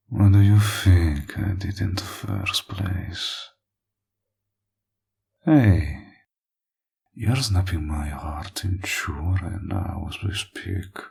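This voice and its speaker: A man playing a flirtatious, possessive villain in an intimate roleplay audio, teasing and smug, with a husky, gravelly voice that often drops toward a murmur. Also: low-pitched, heavily acted.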